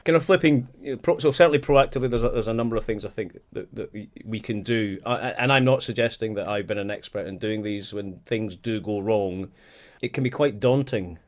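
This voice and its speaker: A man co-hosting a podcast, asking long questions in a halting way and repeating words as he goes. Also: on the low side. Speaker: podcast co-host. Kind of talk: casual interview chat.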